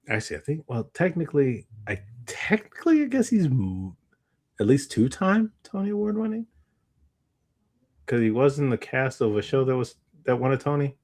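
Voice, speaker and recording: A man's voice: mid-range voice, a little mellow. A tired man hosting a podcast, chatting casually in a rambling way and often backtracking mid-sentence. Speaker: podcast host. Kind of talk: casual chat.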